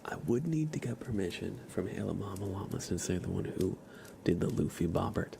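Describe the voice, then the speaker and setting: A man hosting a casual ASMR livestream, speaking softly and informally, often slipping into a breathy whisper. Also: sits low, brisk, noisy background. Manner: relaxed, informal, uses y'all. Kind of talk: casual ASMR stream chat.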